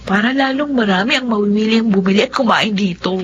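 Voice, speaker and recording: An actress playing a weary, earnest young eldest daughter in an emotive Filipino radio drama. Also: female voice, medium pitch, brisk, a little hiss or hum, natural voice. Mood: grateful, hopeful. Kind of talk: Filipino radio drama acting and first-person narration. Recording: dull lo-fi audio with music behind.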